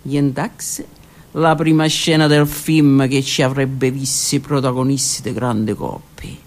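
A woman narrating her own theatre monologue in a hushed, lingering, measured way. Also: extremely low pitch, fairly even intonation. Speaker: author and performer.

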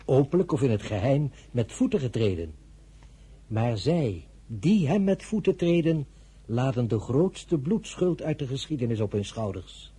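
A solemn, idealistic father in a radio play. His voice is very mellow and strongly husky. He speaks with grave, deliberate weight, like an orator addressing his child. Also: medium pitch, very animated, heavily acted. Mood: grave, impassioned.

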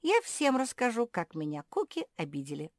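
Huffy lady frog from a storybook, done by a woman narrator, bossy and indignant, voice pitched up. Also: animated, slow pace, dry recording, studio quality. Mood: vengeful. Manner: indignant, emphatic, imperious. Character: haughty, easily offended female talking frog, vindictive.

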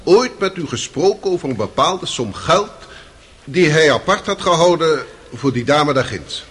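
An actor playing an insistent public prosecutor in a radio play, questioning formally and probingly. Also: male voice, on the high side, expressive, moderate pace, a bit bright, breathy edge.

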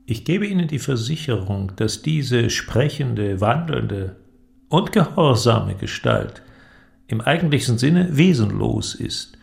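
A man's voice: medium pitch, lively intonation, slow pace, creaky, voice pushed up, heavily acted. A man playing a confident, mysterious inventor, solemn and emphatic, in dramatic audiobook dialogue. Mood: assured, explanatory.

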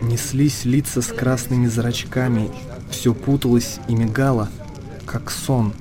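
A man performing as a solemn storyteller, narrating a literary radio drama in measured short phrases. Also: mid-range voice, steady tone, crowd noise, lightly acted. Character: solemn storyteller of a monastery tale.

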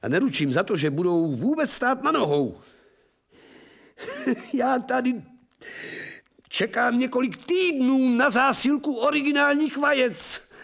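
An actor playing a weary, bitter, irascible old professor in a theatrical radio drama. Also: male voice, very high-pitched, sing-song, vocal fry, long pauses, fairly bright tone, exaggerated voice. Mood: scornful, agitated. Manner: theatrical, swinging from resigned murmur to agitated exclamation.

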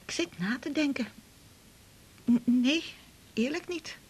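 A woman's voice: high voice, slow. An actress playing a timid, devout married woman at the doctor's in a radio drama, anxious, hesitant and half-whispering. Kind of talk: naturalistic 1980s radio drama acting.

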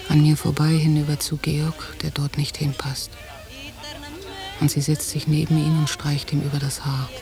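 Quiet, even-toned brooding woman. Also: low-pitched, normal speed. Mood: brooding, inward. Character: anxious, jealous young-to-middle-aged woman on holiday, brooding, watchful. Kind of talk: German radio drama, intimate interior monologue and dialogue. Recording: 1990 radio drama from cassette, music behind.

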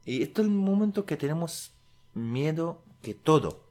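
A young Syrian man whose first language is Arabic, speaking halting Spanish with an Arabic accent, searching for words as he tells his story to an interviewer. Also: on the high side, animated, darkish tone. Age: young adult. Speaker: guest.

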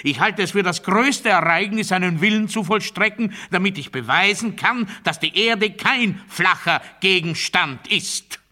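An actor playing a pompous, paranoid new ruler in a radio play monologue, declaiming to his people in a sharp, forceful, theatrical voice that moves between proclamation and confiding. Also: high voice, a little slow, few pauses, full character voice. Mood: grandly proclaiming. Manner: declamatory oratory, swinging from loud proclamation to hushed confiding.

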